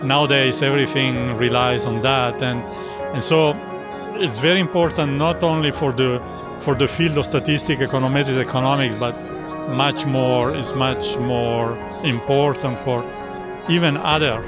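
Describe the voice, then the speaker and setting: A man speaks in a plain, mid-pitched voice, stopping and restarting as he hunts for the right words. Loud music plays behind him the whole time. He sounds like a conference organizer answering interview questions. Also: fairly even intonation. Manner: halting, searching for words with many restarts. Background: loud background music.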